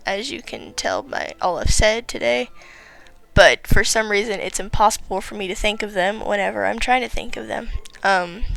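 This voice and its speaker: A teenage girl giving rambling, chatty commentary on her game late at night, her voice tired and raspy. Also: mid-range voice, fairly bright tone, low-quality recording. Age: teens. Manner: rambling, filler-heavy, chatty. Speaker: gaming vlogger.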